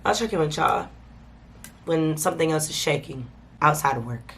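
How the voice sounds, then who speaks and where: A woman chats informally into a handheld camera, calling her viewers y'all as she talks them through her day. Her voice sits a little low and sounds congested and stuffy from a cold. Faint ambient noise hangs behind her. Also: animated, normal speed. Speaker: vlogger host. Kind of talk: casual vlog chat to camera.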